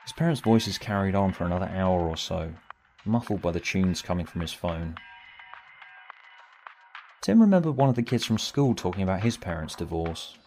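Low-voiced man narrating a story over music. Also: lively intonation, moderate pace, darkish tone. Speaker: author and narrator.

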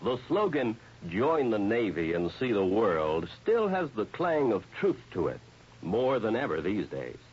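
A man's voice: lowish voice, sing-song, measured pace, a little mellow, husky, soft background music. A man reading scripted patriotic narration as an old-time radio announcer. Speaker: armed forces radio announcer. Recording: dull vintage radio recording.

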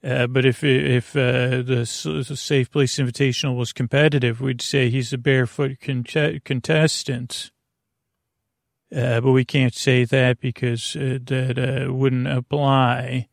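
A middle-aged man with a creaky, gravelly voice hosting a bedtime podcast, rambling haltingly with frequent restarts and digressions, recorded in a small closet at home. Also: medium pitch, little pitch movement, dry recording, clean studio sound. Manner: halting, digressive, constantly restarting mid-thought. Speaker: host of a bedtime sleep podcast. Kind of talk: rambling, meandering bedtime monologue.

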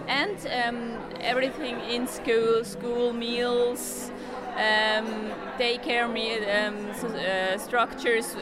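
Hesitant woman over loud crowd. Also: on the high side, flat delivery, extremely slow. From Switzerland. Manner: hesitant, repeats words. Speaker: coeliac association delegate being interviewed. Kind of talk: interview answers. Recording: loud music and crowd behind voice. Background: music, busy crowd.

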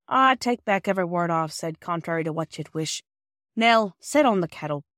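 A woman voicing a proud, stubborn but soft-hearted working-class man with gruff, rustic dialect speech in a storybook reading. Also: lowish voice, animated, moderate pace, dry recording, clean studio sound. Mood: moved, gruff.

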